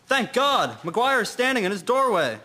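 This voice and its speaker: A man's voice: very high-pitched, big pitch swings, moderate pace, sharp tone, heavily acted. A young man playing an airman in a historical audio drama. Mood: urgent. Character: young airman friend, chummy, takes charge under stress.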